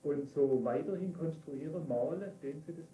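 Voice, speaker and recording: A man's voice: a little high, moderate pace, dark tone. A man asks casual questions as an interviewer. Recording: distant, echoey archive interview.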